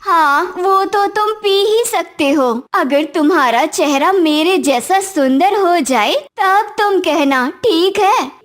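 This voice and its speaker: A conceited young daughter-in-law from a cartoon moral story, acted by a woman. Her voice is strikingly high and she takes her time over each haughty, taunting phrase, full of spite and self-admiration. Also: lively intonation, slow pace, hardly any pauses, exaggerated voice.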